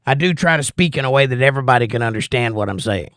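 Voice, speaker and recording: Middle-aged man with a softened Georgia accent. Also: medium pitch, fast, clean tone, dry recording, studio quality. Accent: softened Southern US (Georgia). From Georgia, USA. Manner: relaxed and conversational, reads viewer comments aloud and answers them. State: strained voice. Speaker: livestream host reading chat. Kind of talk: casual livestream chat.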